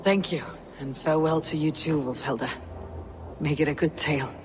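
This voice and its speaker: A woman's voice: sits low, moderate pace, lightly acted. A woman playing a stoic, battle-hardened Viking warrior in a historical video game, terse, sombre and plain-spoken. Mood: grateful.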